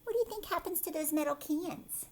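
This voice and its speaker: A woman's voice: very high-pitched, a little fast, a little mellow, slight background noise. A woman teacher reading a picture book aloud to children, expressively, with chatty commentary and questions along the way. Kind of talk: storybook read-aloud with casual commentary for children.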